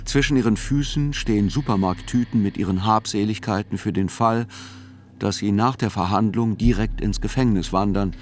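A man reading scripted literary reportage as narrator of a radio play, in a measured, dry, articulate manner. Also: fairly low pitch, a little hiss or hum. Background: music.

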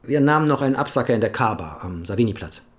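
An actor playing a courteous but guarded clinic doctor in a radio drama, measured and careful. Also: male voice, medium pitch, animated, lightly acted.

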